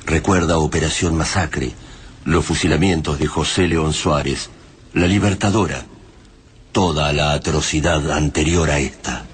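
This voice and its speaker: A man's voice: rumbling low, a little slow, a little hiss or hum. A man with an Argentine accent narrating a dystopian radio drama in a grave, literary manner. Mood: somber, literary.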